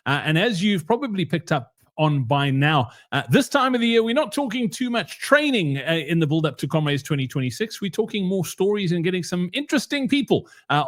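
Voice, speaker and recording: High-pitched South African man hosting a podcast. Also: very animated, fairly quick, hardly any pauses. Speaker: podcast host. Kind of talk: casual podcast interview.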